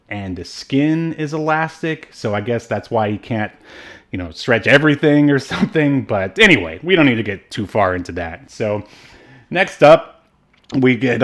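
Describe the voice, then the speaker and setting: A man co-hosting a casual film discussion, talking in a halting, rambling way. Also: mid-range voice. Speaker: podcast co-host reviewing films.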